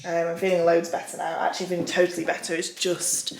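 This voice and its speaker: Husky woman vlogging. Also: fairly low pitch, completely flat delivery, fast talker, faint music behind. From England. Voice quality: husky, raspy. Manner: chatty, many ums, upbeat. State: recovering from tonsillitis. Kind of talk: casual vlog chat. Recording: echoey room.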